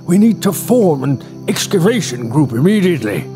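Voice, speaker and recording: An actor playing an excitable, pompous older scholar in an audio drama, speaking in a theatrical, drawn-out way. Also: male voice, fairly high pitch, soft background music. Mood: excited.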